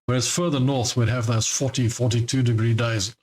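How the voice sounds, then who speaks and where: A man's voice: mid-range voice, fairly even intonation, a little fast, darkish tone, slight background noise. Chatty trading-stream host, man. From born in Scotland, grew up in South Africa. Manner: chatty, rambling, laughs often. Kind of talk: casual live-stream chat. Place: home in Cape Town.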